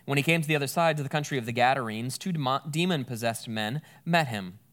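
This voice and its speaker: A man preaches in a relaxed, conversational way. His voice sits at an ordinary middle pitch. He talks plainly while explaining scripture. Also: fast talker. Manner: conversational, explains Greek words with a folksy aside. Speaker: pastor. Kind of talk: sermon with scripture reading.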